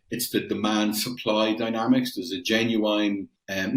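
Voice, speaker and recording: A man's voice: lowish voice, roomy sound, poor audio. A middle-aged Irish man from Dublin answering interview questions conversationally, with frequent fillers and restarts. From Ireland. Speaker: guest, metals company founder. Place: home in Ireland, roomy.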